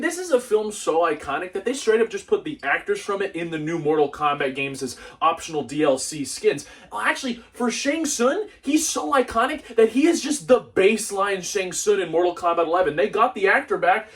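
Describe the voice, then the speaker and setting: Animated high-pitched man reviewing movies. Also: a little fast, recorded from a distance. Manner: animated, exaggerated, sarcastic, swearing. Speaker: video reviewer, host. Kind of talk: casual comedic film review commentary.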